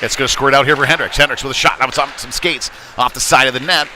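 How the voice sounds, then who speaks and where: A man with a mid-pitched voice gives live hockey commentary over clear rink noise. His delivery is folksy and chatty, picking up energy as the play develops. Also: fairly even intonation, fast talker, sharp tone. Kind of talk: live sports commentary with casual asides. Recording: noisy live rink ambience.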